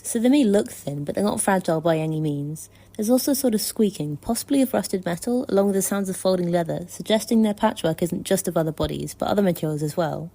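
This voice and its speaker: A woman reading prepared fan commentary in a measured, articulate and thoughtful way. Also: on the low side, gravelly, dark tone. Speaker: listener contributor sending a voice message.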